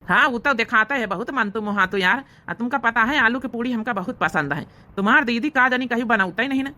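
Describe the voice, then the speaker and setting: A woman's voice: fairly high pitch, fast talker, sharp tone. A woman voicing an easygoing married brother-in-law in an Awadhi cartoon comedy, sweet-talking and indulgent.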